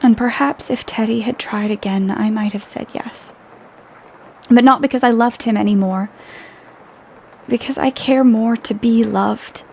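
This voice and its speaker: A woman acting in an audio play as an outspoken young woman writer, earnest and expressive. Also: fairly high pitch, measured pace, gravelly, slight background noise, rough recording. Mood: wistful, lonely.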